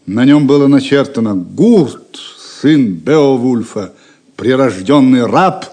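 A man's voice: mid-range voice, a little mellow. A man giving scripted dramatic narration as the narrator of an audio drama.

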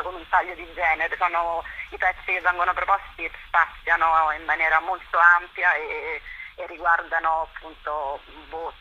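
A woman giving a casual interview, often halting as she searches for words. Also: on the low side, bright, forceful tone, muffled recording, slight background noise. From Rome, Italy. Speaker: interview guest, writer and performer.

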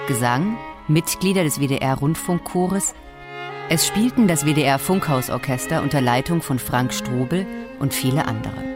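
Over clear orchestral music, a woman with a low voice reads out radio drama credits. The delivery is scripted announcing, name after name.

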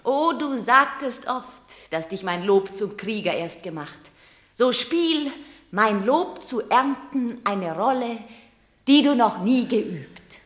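A woman's voice: fairly high pitch, expressive, slow. An actress playing a commanding, strong-willed Roman mother, urging and pleading in classic German radio drama declamation. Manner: imperious, pleading stage declamation. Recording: roomy radio drama studio sound.

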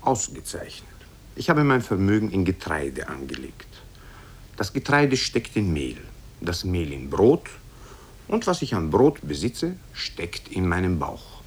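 A man voicing a poor, work-shy wise man in a storybook tale, brazen and mock-solemn, speaking deliberately. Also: deep voice, sing-song, husky, exaggerated voice. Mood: smug.

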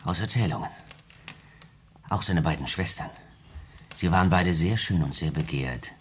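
Ein Schauspieler spielt im deutschen Hörspiel einen stillen, wissenden Gefährten im Wilden Westen, rau und wortkarg. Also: male voice, sits low, animated, normal speed, a little hiss or hum. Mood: subdued, knowing. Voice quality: husky. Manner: terse, subdued. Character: quiet, knowing male companion in the Old West. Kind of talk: German radio drama acting.

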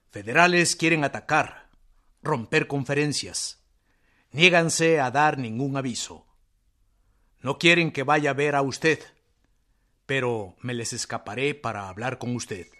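Actor as an earnest, deferential Mexican envoy. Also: male voice, medium pitch, fairly bright tone, natural voice. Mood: urgent. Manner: formal, respectful, insistent. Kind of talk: radio drama acting.

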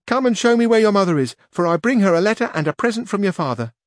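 A man voicing a quick-witted young page in a storybook reading, talking in a raised, courtly, eager way. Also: sits high, animated, no echo, clean studio sound, pitched above the performer's own voice.

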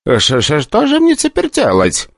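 A man's voice: medium pitch, lively intonation, slow pace, fairly bright tone, no room sound, clean studio sound, voice pushed up. A man doing a stammering, terrified little clinic director. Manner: stutters, whimpering.

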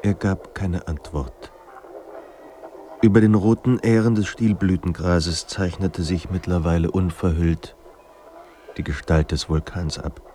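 An actor playing a sombre literary narrator in a radio drama, speaking in a measured, grave manner. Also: male voice, deep voice, steady tone, mellow, a little hiss or hum. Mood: melancholy. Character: sombre literary narrator of a troubled writer's life.

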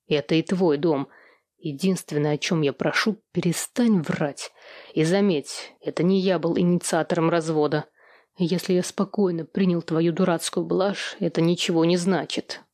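A woman's voice: fairly low pitch, moderate pace, a little mellow, dry recording, studio quality, close to an everyday voice. A woman voicing a possessive, controlling ex-husband with a stern, commanding, menacing manner in an audiobook. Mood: cold, commanding.

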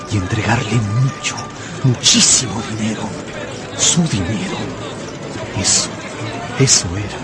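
An anxious gambler telling his own story in a radio drama. The voice is fairly low and moves expressively up and down. Loud music and crowd sound run behind it. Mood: anxious, tempted. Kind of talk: dramatised first-person radio narration.

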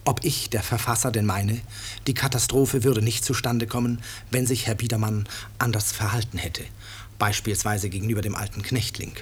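A man playing an author who addresses listeners in measured, deliberate, dryly ironic radio-play narration. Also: fairly low pitch, steady tone, husky. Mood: reflective. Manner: measured, deliberate, dry irony. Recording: slightly noisy 1950s radio recording.

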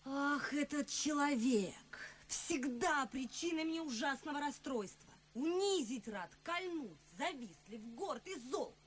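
An actress playing a proud, resentful young noblewoman, measured and theatrical, in a radio verse drama. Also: sits high, lively intonation, slight background noise. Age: young adult. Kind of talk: classic stage verse acting for radio. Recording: loud music behind the voice. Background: music.

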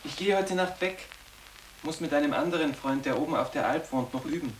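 A man's voice: fairly high pitch, fairly quick, lightly acted. A young man playing a matter-of-fact, independent teenage schoolboy in a radio drama, speaking in plain short phrases. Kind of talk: literary radio drama acting. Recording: roomy, distant microphone, background noise.

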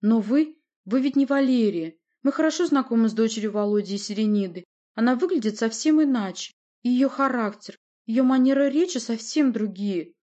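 A courtly boyar from a fantasy storybook, voiced by a woman narrator. He is timid yet persistent, with a raised pitch and formal, polite, questioning speech. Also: expressive, moderate pace, few pauses, dark tone, dull audio, close to an everyday voice. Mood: doubting.

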